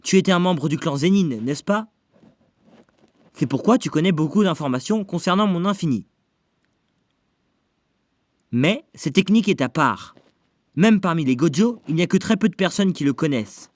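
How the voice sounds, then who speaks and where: A man's voice: a little high, lively intonation, moderate pace, long pauses, no echo, studio quality, lightly acted. A man playing a cocky, euphoric young sorcerer in an anime fan dub, with a theatrical, self-satisfied delivery. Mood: calm, explanatory.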